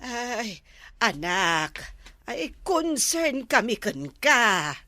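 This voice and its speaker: A woman with an Ilocano accent talks in a high, slow, motherly way. Her scolding stays gentle. The recording is dry and close. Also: very animated, dry recording. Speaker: mother in a family comedy sketch. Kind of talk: comedic family drama acting.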